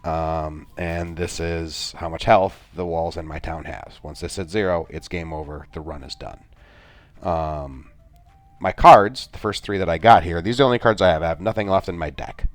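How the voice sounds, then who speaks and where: Soft-spoken man with a very low voice. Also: normal speed, no echo. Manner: rambling, with frequent filler sounds and self-deprecating asides. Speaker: gaming video creator. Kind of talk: gameplay walkthrough commentary.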